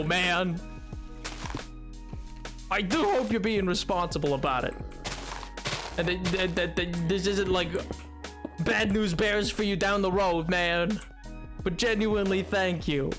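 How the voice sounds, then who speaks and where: A man streaming a game and chatting casually with his viewers, excitable and rambling, with many restarts and sudden yelps. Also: high-pitched, unhurried, long pauses, music in the background. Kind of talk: casual live-stream chat.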